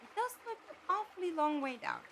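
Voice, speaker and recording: Woman acting as practical inquisitive captive, audio drama, high voice, lively intonation, direct, conversational, slightly wry. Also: brisk, slight background noise, heavily acted. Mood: animated.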